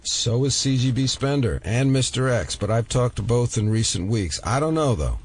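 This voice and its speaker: An actor playing a dry, dogged FBI investigator in an audio drama, with wry, understated delivery. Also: male voice, medium pitch, expressive, moderate pace, slightly rough. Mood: earnest.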